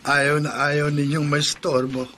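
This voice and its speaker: A man playing a guilt-ridden young adult son in a radio drama, speaking slowly and heavily. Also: on the high side, level tone, bright, forceful tone, slight background noise. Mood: withdrawn. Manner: slow, heavy, remorseful.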